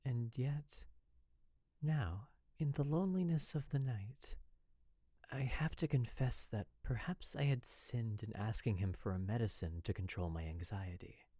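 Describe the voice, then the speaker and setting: A man's voice: medium pitch, lively intonation, unhurried, a little mellow, no echo. A man playing an anxious, oppressed young wife who confides in her diary, in an earnest, formal, dramatic western narration. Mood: wistful.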